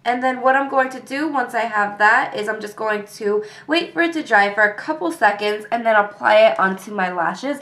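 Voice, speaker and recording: A young woman with a cold and a congested voice, calmly talking through a makeup tutorial step by step. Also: on the high side, normal speed, few pauses. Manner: calm step-by-step explaining with fillers. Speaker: beauty vlogger.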